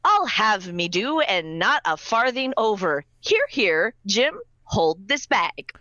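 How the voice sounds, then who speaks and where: A woman playing a stubborn, greedy, proudly honest older mother in a theatrical, drawn-out radio play style. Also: medium pitch, sing-song, bright, forceful tone, no room sound, muffled recording, heavily acted. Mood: triumphant, indignant. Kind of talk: live radio play acting.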